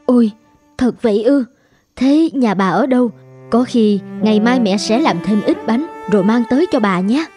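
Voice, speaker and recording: Woman as gently admonishing cartoon mum, slightly high voice, music underneath. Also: expressive, normal speed, lightly acted. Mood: concerned, kind. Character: caring, gently admonishing mother of young boys. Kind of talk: cartoon voice acting.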